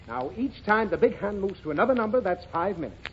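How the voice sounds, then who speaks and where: A man's voice: sits high, sing-song, fast. A man playing an exasperated, easily flustered father in an old radio comedy, explaining things fussily. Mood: strained patience. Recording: muffled, very noisy old radio recording.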